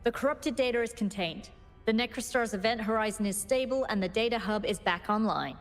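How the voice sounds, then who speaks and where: A woman's voice: a little high, a little fast, soft background music, natural voice. An actress playing an earnest, commanding woman scientist leading a research team in a video game, speaking articulately. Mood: relieved, triumphant. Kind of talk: scripted video game voice acting.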